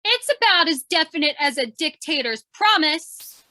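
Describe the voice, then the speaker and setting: A woman playing an eager, brash, excitable young news photographer in an old-time radio drama. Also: high voice, moderate pace, no echo. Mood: insistent. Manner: excitable, slangy.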